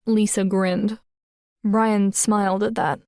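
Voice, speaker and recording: A woman playing a thoughtful teenage girl who narrates her own story in a measured, reflective audiobook style. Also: mid-range voice, flat delivery, darkish tone, smooth, no echo, clean studio sound. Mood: reflective, reserved. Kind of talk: first-person audiobook narration.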